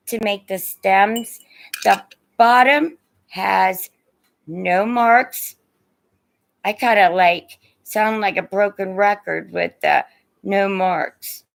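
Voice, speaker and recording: Slow-talking Midwestern American woman, creaky voice. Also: medium pitch. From Indiana, United States. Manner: chatty, addresses viewers with endearments. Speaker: live online seller of vintage and antiques. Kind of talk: casual livestream sales chat.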